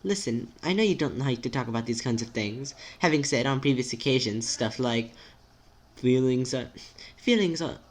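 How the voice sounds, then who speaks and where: A man's voice: medium pitch, normal speed, natural voice. A man voicing a nervous, awkward teenage boy who speaks hesitantly in wordy, formal phrases and tries to be supportive. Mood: gently supportive.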